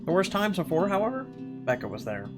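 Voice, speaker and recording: A man reading a visual novel aloud as first-person dramatic narration, halting now and then over the words. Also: high-pitched, huge pitch swings, over music. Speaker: game player reading the text aloud.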